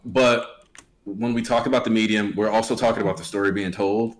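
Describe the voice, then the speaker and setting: A man's voice: lowish voice, fairly even intonation, fairly quick. A man in his late twenties in a casual panel chat, rambling and full of "like" fillers. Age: 20s.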